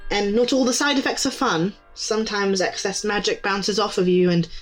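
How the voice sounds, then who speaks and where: An easygoing young female shop clerk, played by an actress in a fantasy fiction podcast. Her pitch is middling and her manner casual and helpful, explaining things plainly. Soft music sits behind her. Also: steady tone, normal speed, lightly acted, poor audio. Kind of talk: naturalistic audio drama dialogue.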